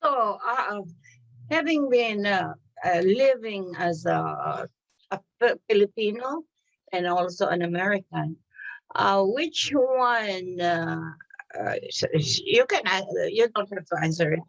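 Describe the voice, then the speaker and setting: A middle-aged woman hosting an interview over a video call, forming her questions slowly with many pauses and fillers. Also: mid-range voice, animated, gravelly, no room sound, muffled recording. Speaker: host. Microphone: video call webcam.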